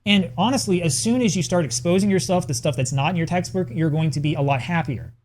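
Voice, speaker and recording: Fast-talking earnest man giving advice. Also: fairly high pitch, a little mellow, slight background noise. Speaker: language-learning YouTuber. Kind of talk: scripted video essay.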